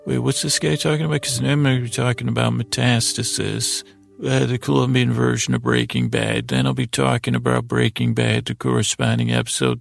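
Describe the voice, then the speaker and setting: Very creaky, meandering male bedtime host. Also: mid-range voice, dry recording, studio quality. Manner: meandering, hesitant, repeats and trails off. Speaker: podcast host. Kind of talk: rambling bedtime monologue for sleep.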